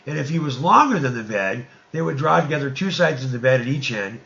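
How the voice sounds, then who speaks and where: A man's voice: medium pitch, expressive, a little fast, vocal fry, fairly bright tone, roomy sound. Man solemnly reading scripture, dull sound. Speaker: narrator. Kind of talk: amateur scripture audiobook reading.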